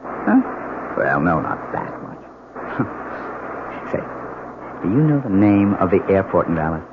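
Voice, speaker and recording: Glib, evasive newlywed husband, very animated delivery, 1970s radio drama, dull lo-fi sound. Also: male voice, deep voice, unhurried, noisy background, exaggerated voice. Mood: breezy, evasive. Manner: glib, jocular. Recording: dull old radio, music behind.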